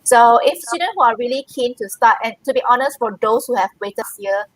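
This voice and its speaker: A woman's voice: on the high side, expressive, brisk, dry recording, poor audio. Earnest woman university representative. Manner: earnest, encouraging advice-giving. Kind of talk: live online Q&A.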